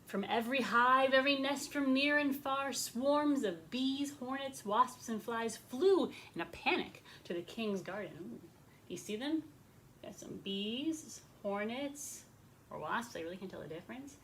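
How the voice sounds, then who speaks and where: A woman with a fairly high voice tells a story to young children. Her delivery is expressive and conversational, in simple words aimed at little listeners. There is clear background noise on the recording. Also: a little slow, rough recording. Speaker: rabbi telling stories to children.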